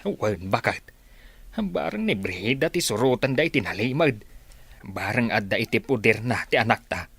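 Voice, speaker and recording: A man's voice: mid-range voice, expressive, normal speed, vocal fry, a bit bright, slightly rough, no echo, full character voice. A man playing a concerned, suspicious village father in an Ilocano radio drama, with an Ilocano accent.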